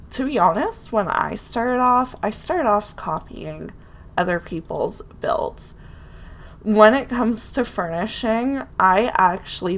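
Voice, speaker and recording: A woman's voice: mid-range voice, a bit bright. A woman with a nasal, congested voice from a cold, chatting casually as she builds in a game and talks to herself. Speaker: Sims building video creator. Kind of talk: casual real-time build commentary.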